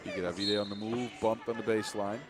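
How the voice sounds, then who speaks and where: A man with a Dutch accent giving fast live basketball play-by-play, his energy rising with each play. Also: fairly low pitch. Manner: energetic, rising with the action, self-mocking asides. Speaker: play-by-play commentator. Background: music.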